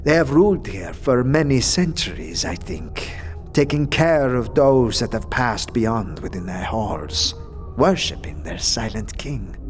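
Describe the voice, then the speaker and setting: Man acting a slow, solemn scholarly guide. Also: medium pitch, very animated, soft background music. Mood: earnest, regretful. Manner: measured, formal, deliberate pauses. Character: reflective Dustman guide, scholarly, calm zealot of death. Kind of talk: fantasy audio drama acting.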